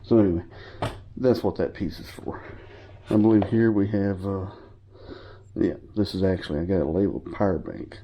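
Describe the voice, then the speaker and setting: Deep-voiced sniffly man explaining his gear. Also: moderate pace, long pauses, warm tone. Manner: rambling, informal, with fillers and self-corrections. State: sniffly from a cold. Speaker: hobbyist showing his gear. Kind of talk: casual show-and-tell tutorial.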